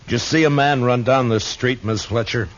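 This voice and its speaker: An actor playing a stern, blunt frontier marshal with an American accent in a radio western drama. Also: male voice, mid-range voice, normal speed, a little hiss or hum, close to an everyday voice. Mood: urgent warning. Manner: terse, blunt, no-nonsense. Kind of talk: 1950s radio western acting and narration. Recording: dull vintage radio recording.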